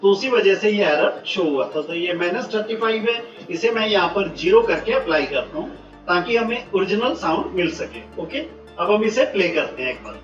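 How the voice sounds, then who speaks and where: A man giving step-by-step software instructions, mixing in English technical words. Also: high-pitched, expressive, normal speed. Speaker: software tutorial presenter. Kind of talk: step-by-step screen tutorial. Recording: muffled, roomy, distant mic, faint music.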